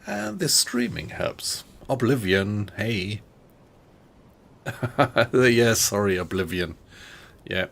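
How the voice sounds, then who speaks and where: A middle-aged man from Germany with a German accent, chatting in a friendly, halting way with viewers on a live stream. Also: on the low side, sing-song, vocal fry, fairly bright tone. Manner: thoughtful, friendly, halting with fillers.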